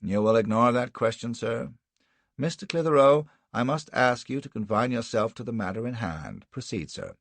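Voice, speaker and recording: A man's voice: medium pitch, animated, normal speed, vocal fry, dry recording, voice pushed up. A man voicing a curt, authoritative male adjudicator in an audiobook, snapping out formal rulings. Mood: curt, firm. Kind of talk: audiobook character acting.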